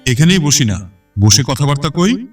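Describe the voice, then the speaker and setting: A man's voice: fairly high pitch, sing-song, moderate pace, recorded from a distance. A man playing a working villager, the shopkeeper's elder brother, in an audio drama with music behind. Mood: insistent. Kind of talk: Bengali audio drama dialogue.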